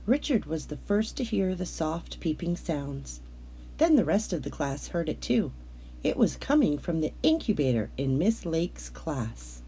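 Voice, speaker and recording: A woman reading a children's picture book aloud as a narrator, in a measured, expressive storytelling manner with drawn-out words. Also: deep voice, slight background noise.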